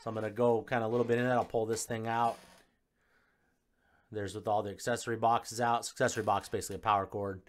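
A man's voice: on the low side, flat delivery, quick pace. A man giving a casual, off-the-cuff unboxing and spec review, listing details in short, informal phrases. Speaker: home theater installer presenting a product unboxing.

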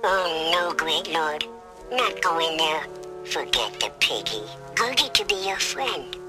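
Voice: medium pitch, lively intonation, a little slow, over loud music, natural voice. A performer voicing a small, furry, fawning creature with whimpering, servile phrasing in a storybook cartoon. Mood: frightened, pleading.

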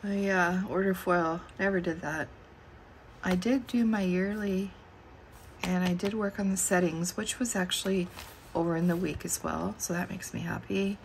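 A middle-aged woman with a gravelly, worn voice, as she is losing it. She talks slowly in a low register, musing aloud while flipping pages, with faint room ambience behind her. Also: fairly even intonation, slight background noise, rough recording. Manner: slow and musing, thinking aloud. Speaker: planner channel host. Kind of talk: casual plan-with-me chat. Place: indoors at a desk.

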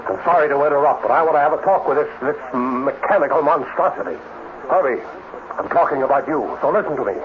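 A man's voice: on the high side, quick pace, close to an everyday voice. A man playing an irritable, self-important robotics director in a 1950s radio drama, pompous and demanding. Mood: gruff, demanding. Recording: tinny, noisy 1950s radio recording.

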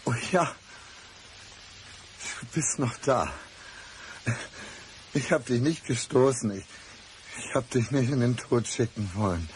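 A man's voice: mid-range voice, slow pace, long pauses, a little hiss or hum, close to an everyday voice, rough recording. An actor playing a brooding, deceitful, despairing man in a radio crime drama, speaking with intense deliberation. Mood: menacing, resigned. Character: brooding, deceitful man feigning blindness, despairing, country-dwelling.

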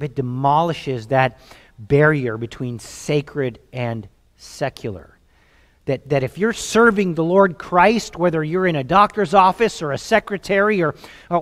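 A pastor in his forties with a Southern US accent delivers a sermon from behind a music stand, sounding earnest and insistent as he drives each point home. His voice sits a little high for a man. A headset mic picks him up, and the sound hangs in a long church-hall reverb. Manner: earnest, emphatic, gestures while speaking, repeats words when building a point.

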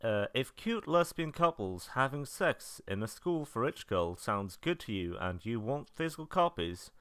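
A man's voice: mid-range voice, sing-song, normal speed, a bit bright, smooth, no echo. A man narrating semi-scripted commentary as a video reviewer, with a dry, understated sarcastic manner. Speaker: video narrator reviewing visual novel releases.

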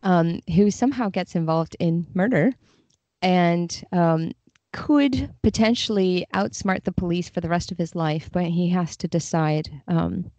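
A woman's voice: fairly low pitch, measured pace, darkish tone, no room sound. A woman talking reflectively and thoughtfully in conversation as an interview guest. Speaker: podcast guest, literary translator. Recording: slightly dull lo-fi audio.

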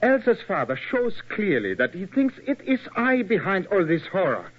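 A man's voice: sits high, wildly swooping pitch, moderate pace, full character voice. An actor playing an earnest, desperate young man in an old radio drama. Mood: earnest, troubled. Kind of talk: 1940s radio drama acting. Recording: muffled old radio broadcast.

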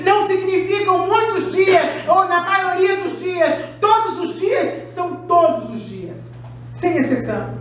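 A woman's voice: very high voice, animated, measured pace. A middle-aged woman pastor preaching an impassioned sermon in a church room, recorded by a far camera. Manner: impassioned preaching with raised finger gestures. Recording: very echoey room, voice from afar.

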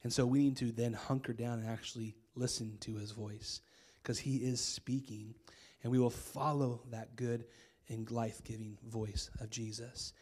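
Hoarse man, thirties, American accent, relaxed conversational sermon, handheld mic. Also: mid-range voice, normal speed. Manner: casual, conversational preaching with many fillers. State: hoarse from a cold. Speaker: pastor. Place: church stage with string lights.

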